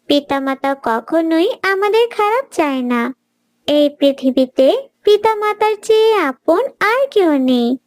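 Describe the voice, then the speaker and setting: A woman's voice: very high-pitched, no room sound. A woman voicing a pious, kindly little girl in a children's cartoon, speaking in an earnest, preachy way. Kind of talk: children's moral cartoon voice acting.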